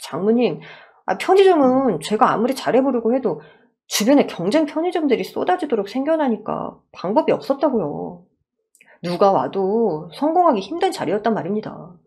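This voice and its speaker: A woman's voice: fairly high pitch, very animated, normal speed, a little mellow, voice pushed up. A woman voicing an earnest, hard-working young husband in a radio story drama, protesting respectfully.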